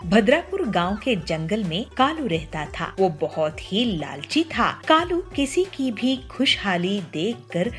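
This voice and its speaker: A woman's voice: medium pitch, big pitch swings, a little slow, hardly any pauses, faint music behind. A woman narrating a scripted children's story.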